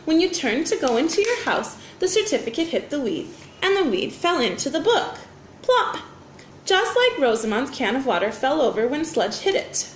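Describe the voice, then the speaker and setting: A methodical, self-important boy detective from a storybook, read by a woman. The voice is fairly high and very animated, explaining emphatically in an echoey room. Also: moderate pace. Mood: confident. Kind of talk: storybook character dialogue. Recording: roomy echo, faint background noise.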